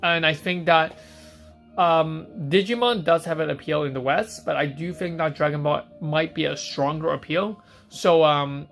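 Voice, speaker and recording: Male stream host from Hong Kong, somewhat high voice, casual chat answering viewer questions, faint music behind. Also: normal speed, gravelly, a bit bright. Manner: chatty, reads out viewer questions and answers them on the spot.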